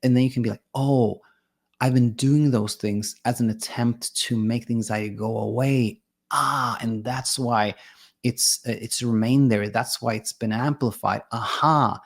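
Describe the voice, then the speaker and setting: A man's voice: mid-range voice, steady tone, unhurried. A man whose first language is Swedish, working as a sleep coach, explaining ideas in a hesitant way with many restarts and fillers. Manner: reads viewer comments aloud. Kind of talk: explanatory monologue.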